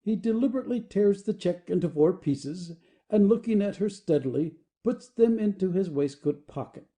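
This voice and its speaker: A man reading the stage directions of an audio play as expressive scripted narration. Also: sits high, normal speed.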